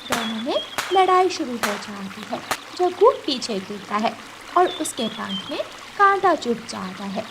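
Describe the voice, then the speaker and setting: A woman's voice: on the high side, big pitch swings, measured pace, noisy background. A woman narrator reading a scripted children's story.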